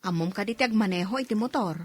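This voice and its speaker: A woman's voice: medium pitch, fast, darkish tone, breathy edge, no room sound, close to an everyday voice. A woman playing a modest, frank working woman in her thirties in a radio drama, with an Ilocano accent. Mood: practical. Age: 30s. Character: modest, hardworking 31-year-old woman, bashful but frank.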